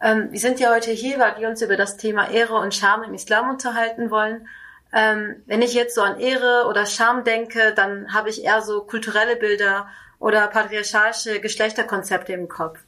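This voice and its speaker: A woman's voice: mid-range voice, fairly even intonation, moderate pace, pushed and bright. A young woman hosting a podcast interview, introducing her guest and asking questions in a friendly way. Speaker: podcast host.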